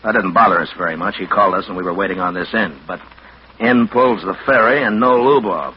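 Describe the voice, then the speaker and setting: A muffled vintage radio recording with faint noise presents a hard-nosed American government agent acted by a man. His voice is deep. He speaks in blunt, official, clipped phrases, in the manner of an old radio thriller. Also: fairly quick, lightly acted. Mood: grave briefing. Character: tough, businesslike American government counter-spy agent. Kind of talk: mid-century American radio drama acting.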